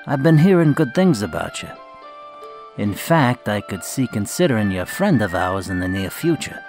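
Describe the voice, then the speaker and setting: A man's voice: fairly low pitch, sing-song, normal speed, a little mellow, soft background music. A man playing a composed, menacing mob boss in a radio drama, talking with cool condescension. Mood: coolly cordial. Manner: condescending, coolly controlled.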